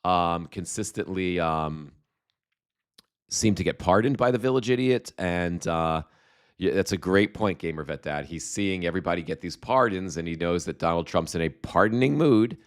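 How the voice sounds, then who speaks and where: A very low-pitched man hosting a casual live stream, his voice smooth and resonant though a slight cold is wearing it down. He rambles and restarts as he reads out viewer comments, and his talk is thick with fillers. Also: moderate pace. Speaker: livestream host.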